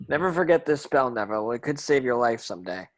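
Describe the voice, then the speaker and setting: A man's voice: medium pitch, animated, fast, close to an everyday voice. Earnest teenage boy wizard read by a man. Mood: encouraging. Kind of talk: amateur table read of a screenplay.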